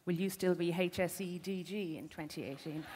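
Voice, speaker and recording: A woman interviewing a guest, brisk and pressing for short, direct answers. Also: on the low side, darkish tone, a little hiss or hum. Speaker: interviewer, health policy analyst. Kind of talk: interviewing, quick-fire questions. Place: conference hall.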